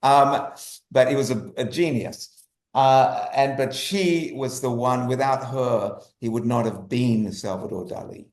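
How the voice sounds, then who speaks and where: A man speaking as an interview guest, enthusiastic and halting, often repeating phrases as he goes. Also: medium pitch, a little mellow. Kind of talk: conversational interview talk. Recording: roomy echo.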